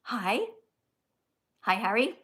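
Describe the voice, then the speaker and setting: A woman's voice: mid-range voice, animated, slow, fairly bright tone. An older woman hosting a casual livestream, chatty and teacherly, often checking in with "okay?" as she talks to callers and chat. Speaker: livestream host.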